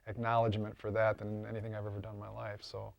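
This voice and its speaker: An older man explaining his craft in a casual interview, haltingly and often repeating words. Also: on the low side, monotone, gravelly, no echo, highs cut off. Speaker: interviewed prop and mask maker.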